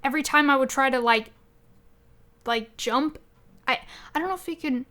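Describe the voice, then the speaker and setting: A woman co-hosting a podcast, chatting casually about video games in an animated, expressive way. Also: high voice, moderate pace. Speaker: podcast co-host.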